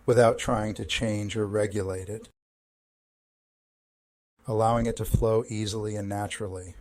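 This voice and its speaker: A man leading a guided meditation as a counselor, speaking in a calm, measured, gentle instructional way with pauses. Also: fairly low pitch, no echo.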